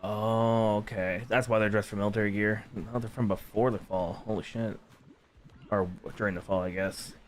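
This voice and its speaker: Low-voiced man casually reacting to a game. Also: fairly even intonation, normal speed, a little hiss or hum. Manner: swears freely, mutters reactions. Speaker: gaming streamer reacting to a game. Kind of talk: casual playthrough commentary.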